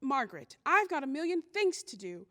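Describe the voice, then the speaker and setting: A woman playing a busy, brisk and dismissive American mother in an old-time radio drama. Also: high-pitched, very animated, noisy background. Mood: distracted scolding. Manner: brisk, fussing.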